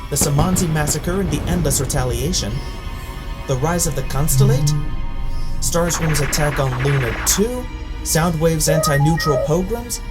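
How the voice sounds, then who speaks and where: A solemn archivist robot played by a woman in an audio drama, low in pitch. Phrases come clipped and exact, as if reciting records, over prominent music. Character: small, precise archivist robot, solemn chronicler.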